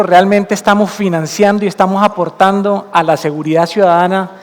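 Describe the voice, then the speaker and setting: A man with a Colombian accent giving an earnest conference talk, explaining his points and slowing down to stress the key ones. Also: sits high. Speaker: conference speaker. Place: conference hall stage. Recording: long hall reverb from a conference room.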